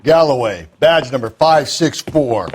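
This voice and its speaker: An actor playing a blunt detective partner who talks in coarse cop slang. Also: male voice, medium pitch, animated, unhurried, a little hiss or hum, lightly acted. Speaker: police detective.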